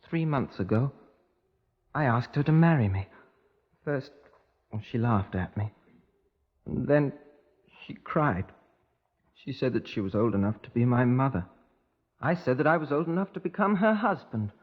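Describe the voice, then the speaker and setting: An actor playing a lovestruck, volatile young English handyman in an emotional, earnest 1950s radio crime drama. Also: mid-range voice. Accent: British. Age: young adult. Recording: muffled old radio broadcast recording.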